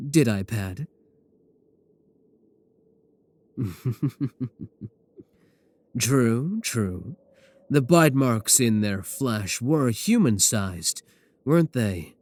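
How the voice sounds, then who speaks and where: A man playing a possessive werewolf professor in an intimate ASMR roleplay, speaking deliberately with coolly affectionate menace. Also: medium pitch, dry recording. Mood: tender, hungry. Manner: slow, deliberate, soft chuckles, pet names. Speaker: voice actor in a roleplay audio.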